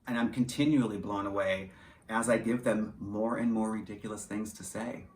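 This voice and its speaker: A man's voice: fairly low pitch, fairly even intonation, normal speed, a little mellow. A man chatting casually in an interview about his creative work, talkative and self-deprecating, with some swearing. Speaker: audio drama writer and director. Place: small home studio, indoors. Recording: roomy echo with faint background noise.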